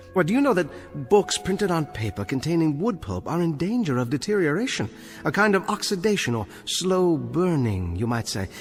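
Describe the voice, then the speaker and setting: An actor in a radio drama playing an earnest, bookish librarian on trial, polite and hesitant yet pedantic and defiant. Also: male voice, fairly high pitch, big-room sound.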